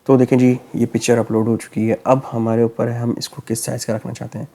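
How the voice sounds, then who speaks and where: Hoarse guy doing a screen tutorial, gravelly flat voice, dark tone, easygoing step by step. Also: mid-range voice, fast talker. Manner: relaxed step-by-step explaining with frequent check-ins. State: hoarse, sore throat. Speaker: tech tutorial host. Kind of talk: screen-recorded tutorial narration.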